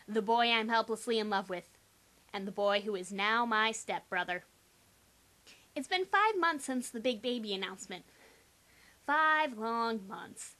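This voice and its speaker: Young female reader, high bright voice, sarcastic and theatrical delivery of a teen novel. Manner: exaggerated, sarcastic, theatrical emphasis. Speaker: amateur reader. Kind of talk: dramatic reading of a first-person teen novel.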